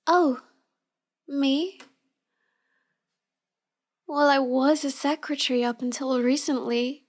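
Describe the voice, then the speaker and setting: A woman playing a shy, sweet young villainess who feigns helplessness in a halting, apologetic way for an intimate roleplay audio. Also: high voice, steady tone. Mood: sweet, guarded.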